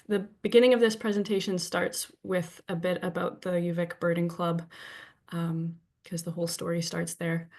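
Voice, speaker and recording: A young woman from Victoria, British Columbia, a little sick and sniffling, giving a friendly talk to a club audience from home, with many ums and restarts. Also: lowish voice. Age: young adult. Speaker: guest presenter. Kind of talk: remote presentation talk. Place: home, presenting remotely.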